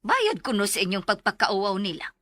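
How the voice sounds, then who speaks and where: An actress with a Cebuano Filipino accent playing a concerned aunt passing on grim news in a radio drama. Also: female voice, mid-range voice, very animated, fairly quick, heavy background noise, lightly acted. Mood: worried. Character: concerned aunt relaying grim threats to her nephew.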